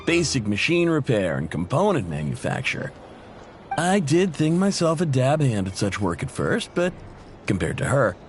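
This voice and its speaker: An actor playing an easygoing, self-effacing machinist and family man in video game dialogue, expressive and wry. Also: medium pitch, close to an everyday voice. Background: music.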